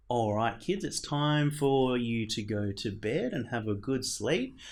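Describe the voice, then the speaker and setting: A man reading a children's picture book aloud as a storyteller, in a theatrical manner with dramatic pauses. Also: mid-range voice, animated. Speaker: storyteller, show host.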